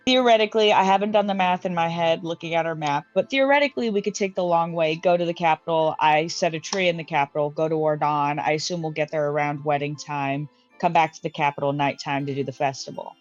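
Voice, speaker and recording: A woman's voice: low voice, quick pace, hardly any pauses. A woman playing in a tabletop game, chatting casually and thinking aloud with many restarts and fillers. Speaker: player. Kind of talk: casual tabletop planning chat. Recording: dull lo-fi mic with faint music.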